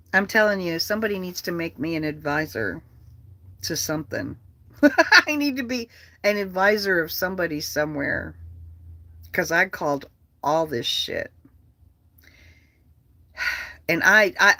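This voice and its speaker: Bright, animated livestream host with a dry sound. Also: medium pitch, no echo. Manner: animated, profane, repeats phrases. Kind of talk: casual livestream chat, political rambling.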